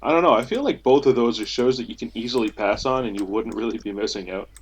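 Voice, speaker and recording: A man's voice: mid-range voice, fast. A young man, chatting casually as a podcast co-host. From Canada. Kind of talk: casual group chat. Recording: faint noise, keyboard clicks.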